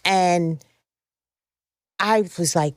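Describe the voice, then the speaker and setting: Woman, middle-aged podcast guest, lower register, emphatic storyteller, dry close-mic recording. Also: drawn-out words, no echo. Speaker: podcast guest, fashion stylist. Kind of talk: casual podcast conversation, career stories.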